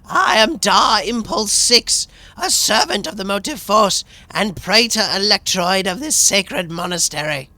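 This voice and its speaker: A man's voice: sits high, expressive, measured pace, bright, forceful tone, no room sound, voice pushed up, exaggerated voice. A man voicing a solemn, zealous cyborg priest in a video game, speaking in a formal, ceremonious, preachy manner. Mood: solemn welcome. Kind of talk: theatrical video game dialogue.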